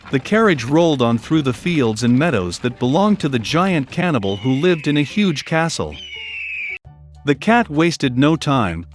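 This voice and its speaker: A man reading a scripted fairy tale for children as a storyteller. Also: medium pitch. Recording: soft music behind the voice. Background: background music.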